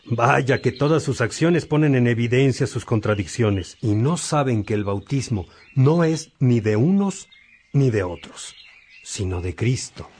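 Patient preaching bishop, a man acting him for a radio play, steady middle pitch, teaching tone. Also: slight background noise, close to an everyday voice. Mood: earnest. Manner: calm, measured and instructive.

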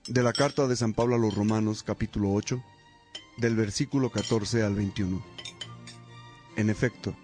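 A man playing a devout monk in a radio drama, praying and reading scripture in a solemn, measured way. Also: on the low side, warm tone, close to an everyday voice. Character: devout monk, friendly brother in community.

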